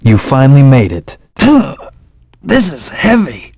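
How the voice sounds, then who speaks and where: An actor voicing an aloof male hedgehog hero in a video game, giving calm, terse commands and hints. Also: medium pitch, measured pace, slightly rough, dry recording, clean studio sound, exaggerated voice. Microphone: studio microphone.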